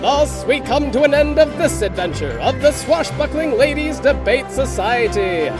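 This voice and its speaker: An excited announcer from an old-time radio serial. The delivery booms and swoops with grand melodrama. Loud music blares behind it. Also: male voice, normal speed.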